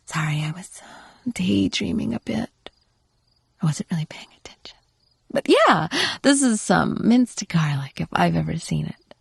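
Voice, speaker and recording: A woman playing a possessive, doting yandere wife in an intimate ASMR roleplay, breathy and often slipping into a whisper as she coaxes and instructs. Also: lowish voice, no room sound, studio quality, heavily acted. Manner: coaxing, doting, softly instructive. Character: possessive, doting yandere wife training her captive husband.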